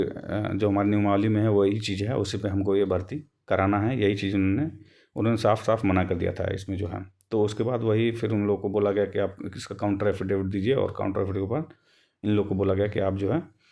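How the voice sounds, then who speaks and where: A man with a fairly low, very mellow and relaxed voice. He explains like an online host walking through a document, informal and halting, often circling back to rephrase his points. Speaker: YouTube host explaining a court case update.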